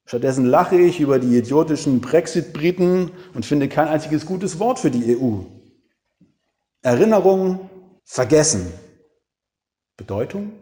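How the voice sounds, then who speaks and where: A man preaching a reflective sermon, with dramatic pauses, rhetorical questions and emphatic single words. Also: a little high, animated, mellow, long reverb tail. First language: German.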